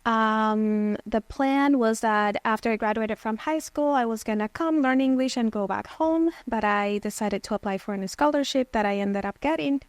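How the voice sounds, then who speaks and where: A woman from Venezuela in her thirties speaks as a guest, recounting her own story with reflective pauses and fillers. Her voice sits fairly high. The recording is close and dry. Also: no room sound. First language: Spanish. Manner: thoughtful, frequent "um" and "you know". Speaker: therapist guest.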